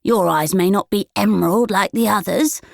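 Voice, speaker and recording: A woman voicing a brisk, sly old witch granny in a children's storybook, gruff but fond. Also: medium pitch, no echo, studio quality, pitched above the performer's own voice. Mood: fond scolding.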